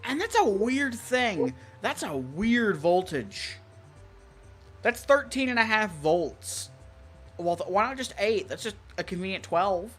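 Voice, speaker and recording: An American enthusiast talks casually at a middle pitch, rambling through technical details. The delivery is opinionated and conversational, as on an online stream, with faint music behind it. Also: sing-song, slow, fairly bright tone. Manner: opinionated, rambling, listing features. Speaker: stream co-host, weather radio collector.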